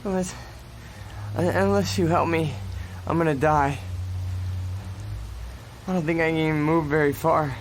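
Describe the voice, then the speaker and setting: Hurt young cop in a radio play, high voice, begging, noisy background. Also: male voice, fairly even intonation. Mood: weak, earnest. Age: young adult. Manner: pleading, halting, urgent. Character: wounded young policeman begging for help. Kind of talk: radio drama acting.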